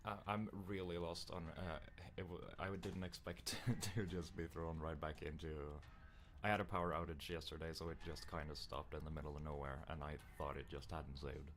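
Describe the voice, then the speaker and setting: Deep-voiced man chatting casually on stream. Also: little pitch movement, moderate pace, a bit bright, slight background noise. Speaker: streamer practising voice acting. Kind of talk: casual livestream chat.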